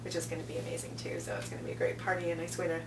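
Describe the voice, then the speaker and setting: A woman's voice: low voice, very animated, very fast. A woman talking conversationally as an interview guest, stressing key words as she promotes events. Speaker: interview guest, film festival programming director. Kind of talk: casual promotional interview talk. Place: indoor room, on-location video interview. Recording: roomy, distant mic, clear background noise.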